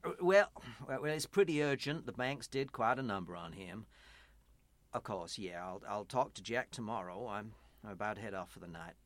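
An actor in a radio play playing a smooth-talking evangelical pastor with a persuasive, salesman-like manner and an uneasy conscience. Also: on the low side, expressive, normal speed, no room sound, lightly acted. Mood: excited, persuasive. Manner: stammering, salesman-like persuasion, swelling into preaching. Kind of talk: radio drama acting.

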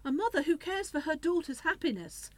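A woman's voice: high voice, lively intonation, fairly quick, no room sound. An actress in a radio play playing a middle-aged grandmother who pleads and reproaches, coaxing and justifying herself. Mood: coaxing, pleading. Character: pleading, reproachful grandmother, anxious to smooth things over.

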